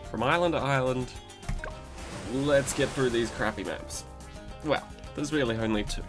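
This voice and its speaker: Laid-back gaming host, congested and nasal, mid-range voice, music bed behind. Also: male voice, medium pitch, moderate pace. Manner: rambling, laid-back, swears casually. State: recovering from a cold, stuffy nose. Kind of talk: casual commentary over gameplay.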